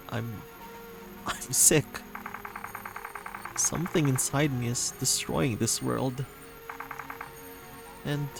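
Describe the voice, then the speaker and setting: A man voicing a gentle, insecure young man in a dating game, speaking in a halting, earnest, emotional way. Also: mid-range voice. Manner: halting, earnest, tender delivery. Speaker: voice actor reading a visual novel. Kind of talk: emotional dramatic game dialogue reading. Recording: music playing under the voice. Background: music.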